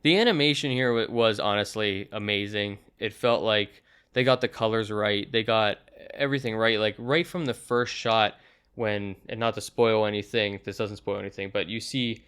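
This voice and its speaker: A man's voice: fairly low pitch, steady tone, normal speed, a bit bright, clean tone. A young man giving a casual, conversational movie review, talking in loose, chatty asides. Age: young adult.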